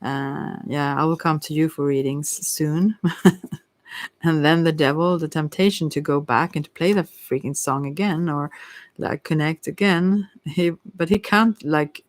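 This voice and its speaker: A person giving a casual ASMR tarot reading, rambling and musing in a gentle, reassuring and hesitant way. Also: medium pitch, steady tone, measured pace, no room sound. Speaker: tarot card reader.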